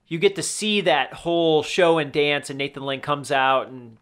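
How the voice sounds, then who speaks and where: A man co-hosting a podcast, chatting informally and haltingly about films, often repeating his words. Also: a little high, fairly bright tone, smooth. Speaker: podcast co-host. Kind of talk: casual film discussion chat.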